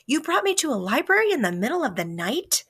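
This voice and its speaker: A woman voicing a wonder-struck young child in a storybook, excitable and sometimes hushed. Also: high-pitched, fairly quick, voice pushed up. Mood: amazed. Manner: excitable, exclaiming, hushed at tender moments.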